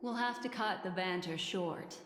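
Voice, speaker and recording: An actress voicing a poised, enigmatic woman in a video game, speaking in a measured, coy and politely formal manner. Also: fairly low pitch, slight background noise, natural voice. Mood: composed, amused. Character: poised, enigmatic memory keeper woman, teasing and suspicious. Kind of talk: English video game voice acting. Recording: echoey reverberant hall, music behind.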